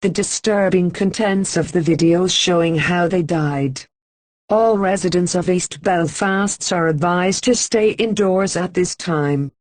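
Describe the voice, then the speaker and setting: A woman reading a scripted emergency broadcast announcement in an even, formal manner with slow, deliberate pauses. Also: on the low side, dry recording.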